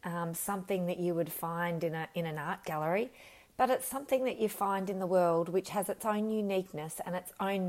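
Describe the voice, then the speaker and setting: A woman with a slightly croaky voice, talking earnestly and reflectively as a podcast host and coach, with frequent ums and self-corrections. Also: on the low side, darkish tone. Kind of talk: unscripted solo podcast talk.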